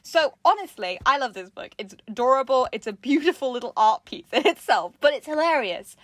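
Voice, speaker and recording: A woman's voice: sits high, lively intonation, a little fast, dry recording, clean studio sound. A woman chatting casually and playfully as co-host of a craft show, giggly and enthusiastic. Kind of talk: casual comedic chat.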